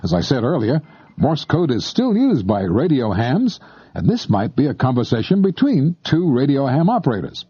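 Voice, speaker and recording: A man narrates an instructional tape with lively, varied intonation and a mellow, relaxed tone. He talks directly to the listener in a conversational way, sounding slightly husky on a dry, somewhat dull tape recording. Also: mid-range voice, normal speed, no echo. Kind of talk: scripted explanatory narration.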